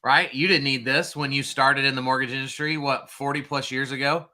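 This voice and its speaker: A man hosting an interview, praising and introducing his guest before asking questions, on a boom mic. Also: fairly even intonation, brisk. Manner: warmly admiring interviewer. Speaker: host. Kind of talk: casual interview. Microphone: boom microphone.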